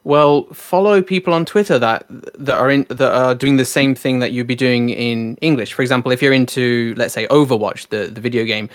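A man's voice: medium pitch, moderate pace, few pauses, dry recording, studio quality. A man hosting a podcast interview, speaking casually with fillers and often affirming his guest. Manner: friendly, uses many fillers and affirming backchannels. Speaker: podcast host.

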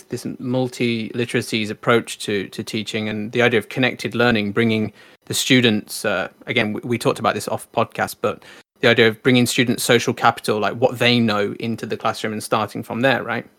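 A man's voice: medium pitch, fairly even intonation, a little fast, fairly bright tone, no room sound. A man with a mid-range voice hosting a casual podcast interview. He talks in an easygoing way with fillers and restarts. The recording is close and dry, with no room sound. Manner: friendly, uses many fillers and affirming backchannels. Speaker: podcast host.

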